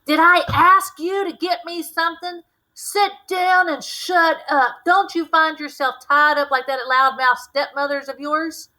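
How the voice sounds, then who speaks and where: A woman's voice: high voice, steady tone, a little slow, bright, forceful tone, voice pushed up, rough recording. A woman voicing a menacing, abusive drunk father in a read-aloud novel, barking demands and taunts. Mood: angry, bullying.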